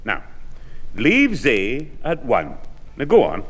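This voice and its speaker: A man's voice: medium pitch, huge pitch swings, slow pace, slightly rough, exaggerated voice. A man playing a blustering old grandfather in a 1960s radio sitcom, hesitant when cornered. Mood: reassuring. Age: older. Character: blustering old grandfather, former merchant seaman. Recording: reverberant old radio recording.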